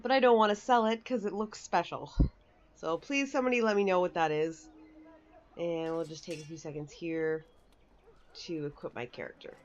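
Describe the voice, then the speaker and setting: A woman's voice: fairly low pitch, normal speed, dull audio. A woman giving casual, chatty, rambling walkthrough commentary for a video game. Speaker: gaming video host. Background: faint game music.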